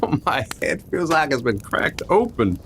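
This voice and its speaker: A man's voice: mid-range voice, sing-song, vocal fry, fairly bright tone, a little hiss or hum. A man as dazed, wounded sheriff, swooping voice. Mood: pained, dazed. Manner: folksy, groaning, halting. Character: injured, dazed but determined male western sheriff. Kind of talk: western radio drama acting.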